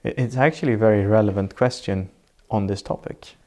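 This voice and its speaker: A man with a Swedish accent, a Swedish native speaker, answering interview questions as an architect in a measured, reflective way, laying out ideas step by step. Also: on the low side, darkish tone. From Sweden. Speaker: architect guest. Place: sitting indoors in Norway.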